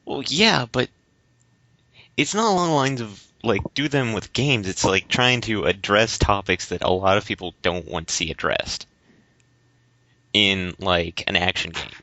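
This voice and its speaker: A man co-hosting a casual debate podcast, speaking in a halting, stammering way. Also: fairly low pitch, lively intonation, pushed and bright, no echo. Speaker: podcast co-host. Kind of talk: casual debate-style chat. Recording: slightly dull, lo-fi audio.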